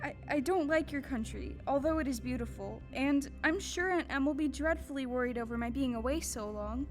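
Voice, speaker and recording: Woman as high-voiced meek little girl. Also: a little fast, soft background music, lightly acted. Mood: pleading. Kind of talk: audio play acting before a live audience.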